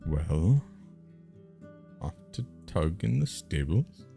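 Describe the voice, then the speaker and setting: A man's voice: sits low, very animated, slow, gravelly, mellow, faint music behind. A man reading a fantasy game's narration aloud on a live stream, mixed with casual banter.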